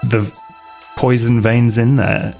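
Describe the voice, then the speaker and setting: A man playing a tabletop role-playing game, talking casually in a hesitant, halting way. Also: medium pitch, flat delivery, creaky, darkish tone, soft background music.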